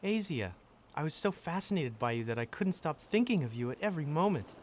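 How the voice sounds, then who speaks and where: A man's voice: fairly high pitch, sing-song, brisk, a little hiss or hum. A man playing a gallant, lovestruck prince in an audio drama, with an earnest, courtly manner. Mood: tender, adoring. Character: lovestruck gallant fairy-tale prince, adult male.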